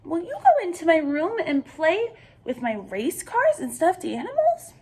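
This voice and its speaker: Woman voicing an eager, high-pitched little boy. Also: huge pitch swings, unhurried, full character voice. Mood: eager, questioning. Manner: bright-eyed, questioning, wondering. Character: eager, affectionate young boy talking to his dad.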